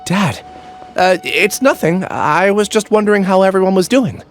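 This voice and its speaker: A man's voice: high-pitched, expressive, moderate pace, faint music behind. A hesitant young man lost in amnesia. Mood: uneasy. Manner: hesitant and uneasy. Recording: game soundtrack behind.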